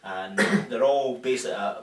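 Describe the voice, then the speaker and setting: A Scottish man hosts a casual, joking fight-sports chat, chuckling often and restarting his sentences. His voice sits at a middle pitch. He sounds distant from the mic in an echoey room. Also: moderate pace, very noisy. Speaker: show host.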